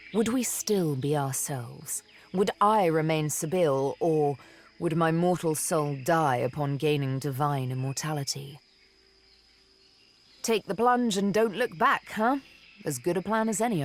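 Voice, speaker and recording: A woman playing a seductive, vengeful elven assassin and former slave in a video game, speaking in a deliberate, theatrical, teasing manner. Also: sits low. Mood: musing, uneasy. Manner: deliberate, teasing, dramatic storytelling with pauses. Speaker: video game companion character.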